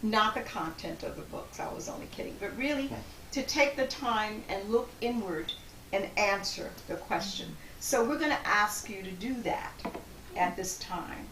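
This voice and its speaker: A woman's voice: medium pitch, lively intonation, normal speed, a bit bright. A woman hosting, giving informal spoken remarks and explanations to listeners. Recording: distant, roomy echo, background noise.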